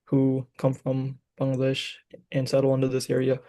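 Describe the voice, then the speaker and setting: Flat, gravelly young man. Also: mid-range voice, normal speed. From Michigan, US. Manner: hesitant, many fillers. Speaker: podcast guest. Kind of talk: casual chat.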